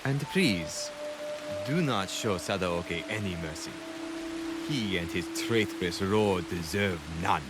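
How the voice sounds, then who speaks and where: An actor playing an earnest, loyal ally in historical Japan in a video game cutscene, speaking in a halting, deliberate way. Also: male voice, sits low, over loud music. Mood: grave. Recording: hiss and rain noise behind.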